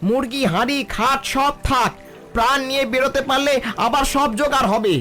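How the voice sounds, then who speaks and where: A man playing a high-voiced protective village father. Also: fairly even intonation, fairly quick, pushed and bright. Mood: urgent. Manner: instructive, reassuring. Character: steady, protective village father, practical and watchful. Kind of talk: Bengali cartoon dialogue. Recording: music behind the voice.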